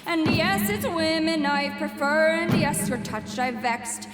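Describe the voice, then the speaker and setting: A woman's voice: high-pitched, a little slow. A woman performing as a ballad singer in a fantasy audio drama, singing a bold story-song. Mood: storytelling in song. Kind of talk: sung narrative folk ballad. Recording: echoey hall, loud music behind.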